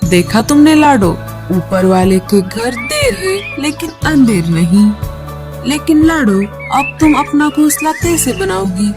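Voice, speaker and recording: Friendly male crow played by a woman, concerned big-brother type, unhurried, children's cartoon with faint music. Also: high-pitched, sing-song, full character voice.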